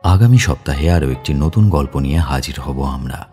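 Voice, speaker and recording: Announcer: man with an extremely low, dark voice, scripted sign-off delivery, light music bed. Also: lively intonation, normal speed. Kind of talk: scripted channel outro.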